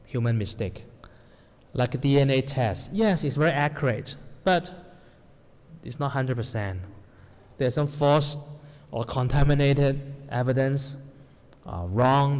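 Man preaching, mid pitch, keeps asking "right?", hall echo. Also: a little mellow. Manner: non-native English with grammar slips, asks the audience "right?". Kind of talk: sermon to a congregation. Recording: long hall reverb.

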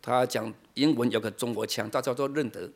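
A middle-aged man from China preaching a sermon in short, explanatory phrases, pausing for an interpreter and posing rhetorical questions. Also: mid-range voice, steady tone.

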